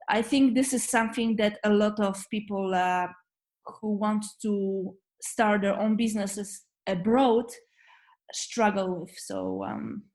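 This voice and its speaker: A woman from Poland with a Polish accent, a guest in a casual interview, speaking earnestly and often pausing with fillers. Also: medium pitch. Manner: many fillers and restarts, laughs easily, warm and earnest.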